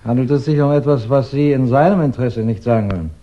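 An actor playing a courteous, probing detective who questions witnesses methodically in a 1960s German radio crime drama. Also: male voice, mid-range voice, fast talker, close to an everyday voice. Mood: polite, insistent. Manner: methodical, polite, insistent questioning. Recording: dull 1960s radio recording.

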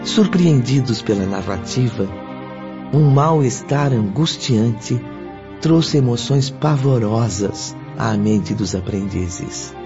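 Male narrator, solemn radio drama, slow pace, swelling intonation, clear music behind. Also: medium pitch, lively intonation. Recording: dull lo-fi audio, music behind.

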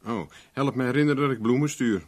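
An actor playing a cool private investigator in a radio play, dry and nonchalant with an ironic edge. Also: male voice, medium pitch, fast, noisy background, lightly acted. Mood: wry, casual. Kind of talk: 1970s Dutch radio play acting.

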